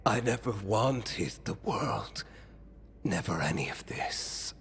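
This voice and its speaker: A man playing a weary, remorseful fallen mastermind in a video game, speaking gravely and haltingly with breathy trailing phrases. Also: on the low side, expressive, a bit bright, a little hiss or hum, heavily acted. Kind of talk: dramatic video game monologue.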